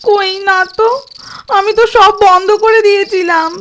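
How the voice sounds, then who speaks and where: A woman's voice: extremely high pitch, steady tone, normal speed, fairly bright tone, slight background noise. A woman voicing a timid, fearful village housewife in a cartoon, hesitant and pleading. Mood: frightened, pleading. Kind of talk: cartoon voice acting.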